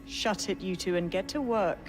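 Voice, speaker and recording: An actress playing a brisk, bossy resistance leader with a blunt tongue. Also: female voice, medium pitch, faint music behind, lightly acted. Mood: wry, stern. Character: commanding, sharp-tongued female resistance leader.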